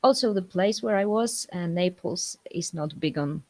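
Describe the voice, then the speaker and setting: A young woman from Poland speaking English with a Polish accent in a casual interview, pausing often with fillers. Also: fairly low pitch, darkish tone, dry recording. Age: young adult. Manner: hesitant, many fillers, laughs between answers. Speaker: guest scientist.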